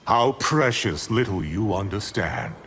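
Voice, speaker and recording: Slow, haughty sorcerer villain. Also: male voice, on the low side, lively intonation, noisy background. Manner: haughty, commanding. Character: arrogant, domineering sorcerer villain. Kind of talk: fighting game character dialogue.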